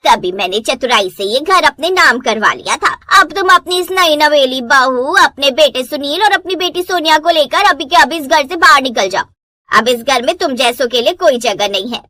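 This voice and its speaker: A woman's voice: high-pitched, normal speed, sharp tone, dry recording. A woman voicing a scheming, spiteful older sister-in-law in a cartoon moral story, bitter and commanding. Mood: gloating, harsh.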